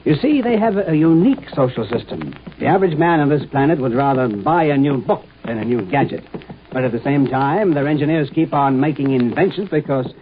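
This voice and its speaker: A thin, faintly noisy old radio recording carries an idealistic American scientist acted by a man. His voice sits a bit high, and he speaks with earnest, dreamy hesitation in 1950s drama style. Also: animated, brisk, narrow, tinny audio, natural voice. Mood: explanatory.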